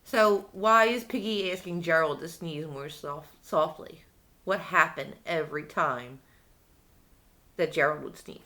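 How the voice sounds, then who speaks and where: A woman's voice: deep voice, measured pace, pushed and bright. A woman reading a picture book to young children, asking simple questions and answering them herself. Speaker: children's read-aloud storyteller.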